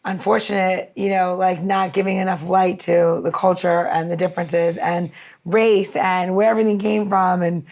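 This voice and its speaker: A woman's voice: lowish voice, level tone, moderate pace, a little mellow. A woman co-hosting a podcast in casual chat, with an informal, effusive and rambling way of talking. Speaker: podcast co-host.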